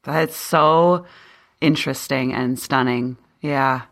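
Podcast host: low voice, earnest and personal, conversational interviewer. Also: steady tone, slow, creaky, a bit bright. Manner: earnest, personal, shares own story.

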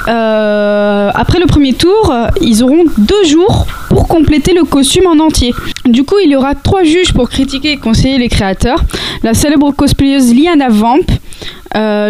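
A woman's voice: high-pitched, a little mellow, a little hiss or hum. A woman giving a casual podcast segment on cosplay, talking with many hesitations and restarts.

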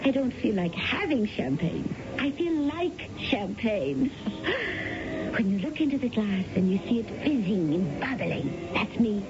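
A woman's voice: medium pitch, sing-song, measured pace, over music. An actress playing a coy, scheming American woman in a theatrical 1970s radio drama style. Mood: giddy, sparkling. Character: coy, bubbly scheming woman posing as a widow. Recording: muffled, noisy old radio broadcast.

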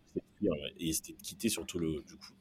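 A man with a very deep voice speaks as an interview guest. He tells a personal story haltingly, repeating words while he looks for the right phrasing. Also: slightly rough. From born in Central Africa, raised in Belgium from early childhood. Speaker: podcast guest.

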